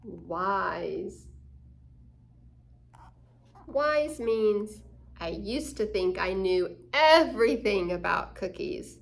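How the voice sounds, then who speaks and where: A woman reading a picture book aloud to children as a story time host, expressively and deliberately, explaining things simply. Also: mid-range voice, lively intonation, creaky. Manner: slow, deliberate, acting out quoted lines. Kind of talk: expressive children's read-aloud with asides to listeners.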